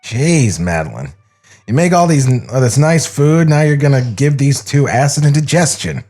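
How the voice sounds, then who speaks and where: A man reads a mild-mannered fellow from a 1930s screenplay. He is placating and understated, with lively turns of pitch. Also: normal speed, faint music behind. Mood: placating, embarrassed.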